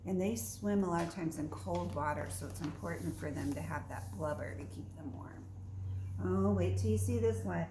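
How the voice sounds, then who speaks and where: A woman's voice: lowish voice, moderate pace. A woman teacher reading aloud to young children, explaining simply and checking in with questions as she goes. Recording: roomy echo, faint background noise.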